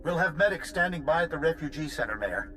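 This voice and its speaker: A man voicing an urgent leader organizing an evacuation in a sci-fi game, with a brisk, commanding manner. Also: on the high side, expressive, sharp tone, soft background music. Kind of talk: scripted game dialogue.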